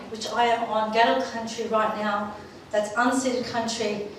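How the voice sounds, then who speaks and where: A woman from Turkey speaks to a conference in a fairly high voice. Her delivery is informal, expressive and heartfelt, like personal storytelling. The sound is distant and heavily echoing, with faint noise. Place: large echoey room at a live event. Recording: echoey hall, distant mic, faint noise.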